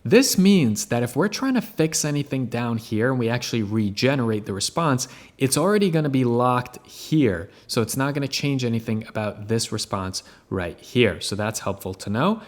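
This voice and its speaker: A man narrating a software tutorial in a casual, step-by-step way as he demonstrates on screen. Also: medium pitch, animated, normal speed.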